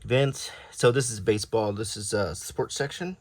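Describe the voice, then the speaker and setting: A man reading an old newspaper aloud in a low-key, casual ASMR style, adding offhand comments as he browses. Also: normal speed, a little mellow, no echo, studio quality.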